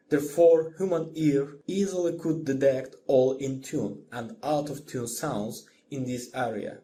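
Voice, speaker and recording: A man giving a scripted music lesson as a lecturer, in a measured, didactic manner. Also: mid-range voice, mellow. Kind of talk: scripted instructional narration.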